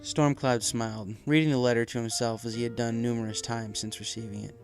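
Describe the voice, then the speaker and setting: Creaky-voiced narrator reading a fan story, soft music underneath. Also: mid-range voice, fairly even intonation, fairly quick. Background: background music.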